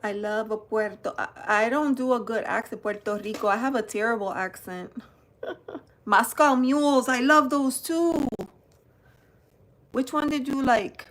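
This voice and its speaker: A woman's voice: a little high, a bit bright. A woman from New Jersey, hosting a live-stream card unboxing and greeting viewers by name. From New Jersey, United States. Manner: chatty, greets viewers by name while showing cards; slow, unhurried delivery. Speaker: tarot channel host.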